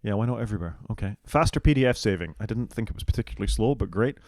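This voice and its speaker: A British man co-hosting a casual tech podcast, going through a list of features and giving quick, opinionated takes. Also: fairly low pitch, lively intonation, darkish tone, no room sound. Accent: British English. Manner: fast-talking, opinionated, goes down the list item by item. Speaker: podcast co-host.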